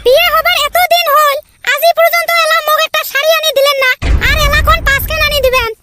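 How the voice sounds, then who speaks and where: A man voicing a nagging, soft-hearted village mother in a comedy cartoon, with a squeaky voice and a northern Bengal accent. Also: flat delivery, fast, slight background noise, heavily acted. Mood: indignant. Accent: northern Bengal (Cooch Behar region). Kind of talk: comedy cartoon voice acting in rural dialect.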